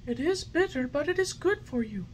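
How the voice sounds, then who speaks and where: A woman voicing a gentle, patient, motherly fairy in a soft, high voice. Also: expressive, moderate pace, poor audio. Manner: soft, lilting, soothing.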